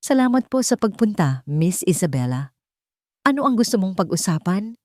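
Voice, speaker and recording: A woman's voice: mid-range voice, very animated, moderate pace, a little mellow, no room sound, studio quality, pitched above the performer's own voice, close to an everyday voice. Woman voicing a respectful, resolute young housemaid. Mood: polite, firm. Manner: polite, firm, earnest.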